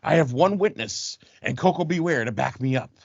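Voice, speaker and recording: A man's voice: fairly high pitch, expressive, moderate pace, no echo. A man playing a slick, scheming wrestling promoter in an amateur comedy audio play, defending himself emphatically. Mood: slick, insistent. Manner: emphatic, smooth-talking self-defense. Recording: dry, dull lo-fi home recording.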